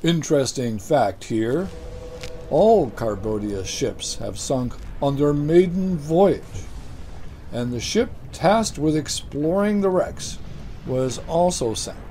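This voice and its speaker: An older man delivering a deadpan mock news report as a comedy anchor, in a dry, unhurried newsreader manner. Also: fairly high pitch, a little hiss or hum. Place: studio set with green-screen backdrop.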